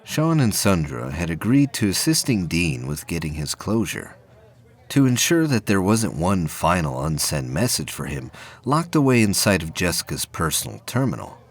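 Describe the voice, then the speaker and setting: Deep, husky male story narrator. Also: big pitch swings, moderate pace. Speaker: narrator of an audio play. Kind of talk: scripted science fiction story narration.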